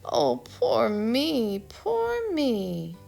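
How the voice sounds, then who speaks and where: A woman playing a fussing storybook acorn mother, anxious and sharp-tongued, her voice climbing high when she frets. It has a gravelly, husky grain, with soft music behind. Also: wildly swooping pitch, very slow, full character voice. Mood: worried. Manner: anxious, complaining, firm.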